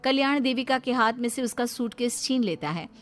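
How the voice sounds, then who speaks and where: A woman's voice: on the high side, expressive, brisk. A woman giving scripted narration for a Hindi cartoon story.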